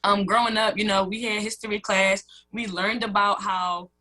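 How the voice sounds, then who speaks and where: A woman's voice: medium pitch, flat delivery, normal speed, bright, forceful tone. A woman in her early twenties giving her views as a guest in a radio interview, earnest and conversational. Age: early 20s. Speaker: student guest.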